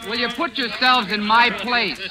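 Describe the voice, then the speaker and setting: An actor playing an earnest, harried young bridegroom, defensive and pleading, in 1940s radio style. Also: male voice, very high voice, steady tone, normal speed, over a noisy crowd, low-quality recording. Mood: protesting. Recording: old radio drama with music.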